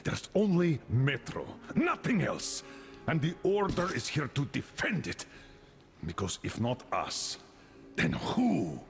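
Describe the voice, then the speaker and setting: An actor voicing a stern, overbearing middle-aged military colonel in a video game drama, scolding and commanding. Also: male voice, a little high, huge pitch swings, slow, creaky, soft background music, full character voice. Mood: angry, scolding.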